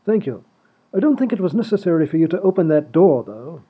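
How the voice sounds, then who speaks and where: A man as a high, husky, pompous lord. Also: very animated, a little fast. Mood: puzzled, exasperated. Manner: formal, fussy, pompous. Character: prim, put-upon English lord, politely indignant. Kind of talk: comedy radio play acting. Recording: tinny phone-like audio, faint music.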